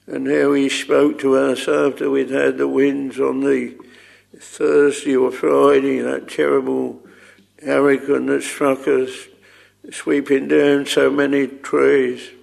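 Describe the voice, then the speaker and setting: Gravelly, slow man in solemn prayer. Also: medium pitch, a little mellow. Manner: solemn, archaic thee and thou, halting with pauses. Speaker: leading public prayer. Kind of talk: extempore spoken prayer.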